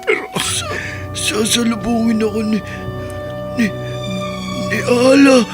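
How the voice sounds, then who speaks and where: A man's voice: very high voice, slow pace. A breathless dying young man. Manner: faltering, weak, stammering. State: gasping for breath. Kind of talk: radio drama acting. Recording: radio drama with music behind.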